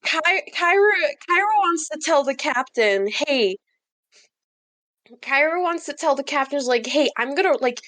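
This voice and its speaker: High-voiced young-sounding woman, chatting in game. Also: lively intonation, measured pace, a bit bright, dry recording. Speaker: player in a tabletop role-playing game. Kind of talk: casual game chat.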